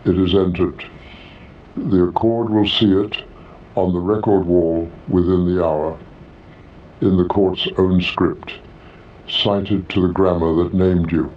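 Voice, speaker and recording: An ancient alien magistrate, played by a man, speaks very low and slowly, with solemn formality. The voice sounds distant and echoes as in a science fiction audio drama. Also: fairly even intonation. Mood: grave, assured. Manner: formal, measured, declarative. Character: ancient solemn alien court senior, three-eyed judge. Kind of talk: audio drama character acting. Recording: distant, dull, echoing voice.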